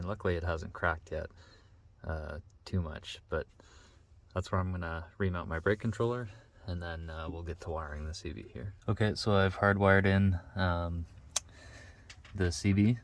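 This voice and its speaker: A man with a low-pitched voice talks through hands-on work as a DIY host. His delivery is level, with little melodic movement, and he lays out each step plainly and casually in a matter-of-fact how-to style. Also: steady tone, normal speed. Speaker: DIY vehicle mechanic, video host.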